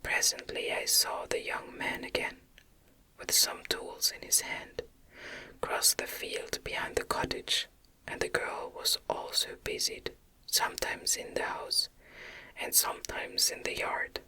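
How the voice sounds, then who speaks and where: Female ASMR narrator for classic gothic fiction: fully whispered, very crisp and bright, gentle delivery. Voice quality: breathy, unvoiced whisper. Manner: gentle, unhurried, careful literary reading. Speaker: audiobook narrator, ASMR reader.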